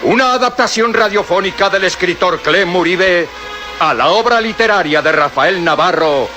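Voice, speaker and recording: A man's voice: high voice, normal speed. A man narrating an old radio serial in a dramatic, suspenseful style. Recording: narration over loud music.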